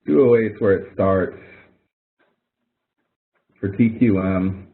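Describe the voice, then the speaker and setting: A man's voice: sits low, slow. A male instructor giving a webinar lecture in a conversational way, walking through examples and correcting himself as he goes. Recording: tinny phone-like webinar audio.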